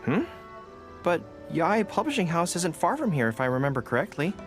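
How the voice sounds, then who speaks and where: Young army general for a game, earnest n a bit shy, really expressive, music behind. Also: male voice, a little high, moderate pace. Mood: friendly, wary. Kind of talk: video game voice acting.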